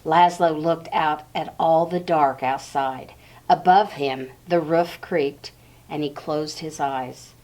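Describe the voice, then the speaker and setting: A woman with a slightly low voice reads a picture book aloud for young children. She breaks the story into short phrases with pauses and addresses her listeners directly. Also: a little mellow, rough recording.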